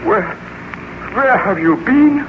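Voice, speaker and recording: Noisy muffled old radio recording, haunted scientist, high male voice, theatrical, earnest, defiant. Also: animated, slow pace, full character voice. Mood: dread. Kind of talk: 1930s radio horror acting.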